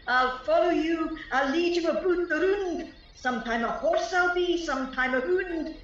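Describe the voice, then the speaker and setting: Woman as an echoing, high-voiced mischievous sprite. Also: moderate pace, fairly bright tone. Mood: gleeful menace. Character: gleeful mischievous shape-shifting sprite taunting mortals. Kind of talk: Shakespearean radio play acting. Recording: distant, very echoey, dull audio, music behind.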